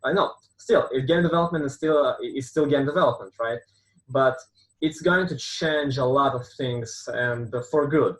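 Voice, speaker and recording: A man's voice: mid-range voice, moderate pace, fairly bright tone, poor audio. A man, a non-native English speaker, answering interview questions as a game development consultant, with many fillers and restarts. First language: not English. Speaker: guest, game dev consultant and company founder.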